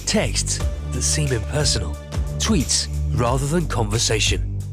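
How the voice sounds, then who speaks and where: A man reading a scripted advert voice-over in a measured, expressive way. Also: mid-range voice.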